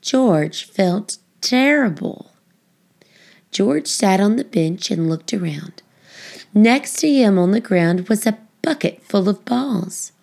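A woman narrating a children's book, phrasing it expressively and deliberately for young listeners. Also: medium pitch, lively intonation, gravelly, darkish tone. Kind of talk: scripted storybook narration.

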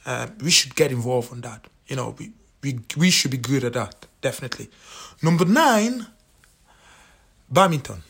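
A man from Cameroon with a Cameroonian accent, talking casually through a countdown list, animated and full of fillers and restarts. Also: mid-range voice, normal speed, creaky, long pauses, husky. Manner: animated, repeats himself, many fillers. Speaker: vlogger.

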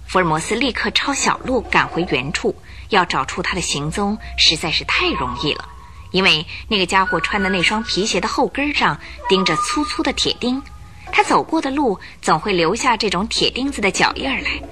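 Lively woman narrating a radio drama. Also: medium pitch, moderate pace, over music. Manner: lively storytelling, rising for suspense.

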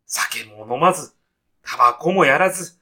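A man acts out a straitlaced, timid salaryman in a comedy radio play. His voice is fairly high for a man and clearly bright. He speaks with careful politeness, holding back and hesitating as he goes. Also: lively intonation, normal speed, full character voice. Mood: meek, earnest. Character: timid, straitlaced salaryman newly arrived in heaven. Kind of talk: deadpan comic radio sketch acting.

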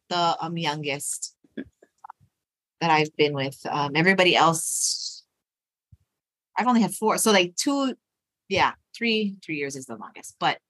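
A woman's voice: fairly low pitch, pushed and bright, dry recording. A middle-aged woman co-hosting a casual chat, easygoing and rambling as she talks through her thoughts. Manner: rambling, full of fillers and restarts, easygoing. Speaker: podcast co-host.

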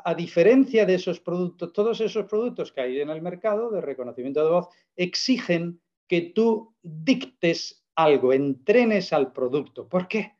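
Persuasive man, slightly high voice. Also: expressive, normal speed, few pauses, darkish tone, highs cut off. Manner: emphatic, persuasive explaining with rhetorical questions. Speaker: guest presenting a product. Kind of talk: casual interview.

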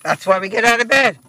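A hoarse man, chatting as a passenger in a car and laughing often. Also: high voice, sharp tone, slight background noise. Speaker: rideshare passenger. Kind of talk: casual chat. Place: inside a car.

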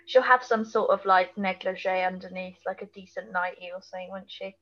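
A slightly lo-fi recording of a woman chatting as a podcast co-host. She speaks at an average pitch, informally, throwing in blunt reactions and questions. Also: fairly even intonation, brisk, fairly bright tone. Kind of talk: casual, reactive chat about a TV episode.

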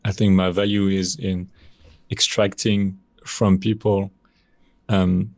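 A man's voice: low-pitched, slow, gravelly, no room sound. A man in his thirties with a French accent, a podcast guest talking casually about his work, with many fillers. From France. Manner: relaxed, rambling, many fillers. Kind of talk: casual conversational interview.

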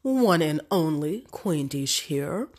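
A woman's voice: sits low, expressive, slow pace, darkish tone, husky. A woman hosting a casual solo podcast, congested from a cold, chatty and affirming with many fillers. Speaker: podcast host.

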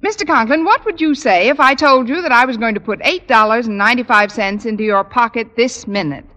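An actress playing a quick-witted, sardonic schoolteacher who is polite to her superior, in 1950s radio comedy style. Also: on the high side, sharp tone. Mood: polite, wry. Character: wry, quick-witted adult female schoolteacher. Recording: noisy old radio recording, hall reverb.

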